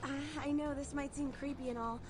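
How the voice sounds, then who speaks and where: A woman's voice: high voice, steady tone, a little fast, natural voice. An actress playing a devoted, needy young woman in a video game, pleading and hesitant, with music behind her. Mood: nervous, earnest.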